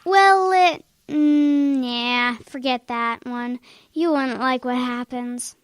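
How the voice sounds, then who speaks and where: Casting: young schoolgirl , woman acting, kids' radio play. High, dry-recorded voice, helpful and plain-spoken. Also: takes it slowly, smooth, dry recording. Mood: helpful, unsure. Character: young schoolgirl, helpful, frank, a little secretive. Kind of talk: children's radio drama acting.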